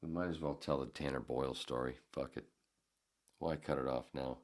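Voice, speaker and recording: A man's voice: extremely low pitch, fairly even intonation, moderate pace. A man hosting a solo podcast, talking in a rambling, profane, self-deprecating way. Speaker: podcast host. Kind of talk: casual solo podcast monologue.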